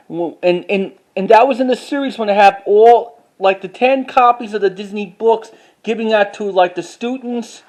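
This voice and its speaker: A man giving a casual show-and-tell as a collector, talking informally in a halting, repetitive way. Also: high-pitched, a little mellow. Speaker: collector showing items to camera.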